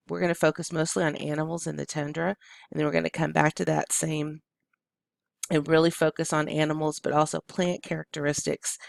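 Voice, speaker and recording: An adult curriculum presenter who speaks to teachers with a froggy, allergy-roughened throat. The delivery is hesitant and full of ums and uhs. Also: mid-range voice, no echo. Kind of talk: recorded curriculum walkthrough.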